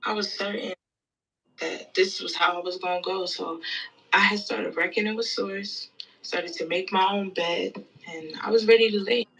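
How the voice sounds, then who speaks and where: A woman's voice: medium pitch, steady tone, normal speed, vocal fry, a bit bright, pitched above the performer's own voice, rough recording. A woman voicing a weary sea survivor in an audio play monologue, telling the story in a reflective, folksy way. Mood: solemn, resigned. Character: weary storm-tossed survivor recounting near drowning at sea.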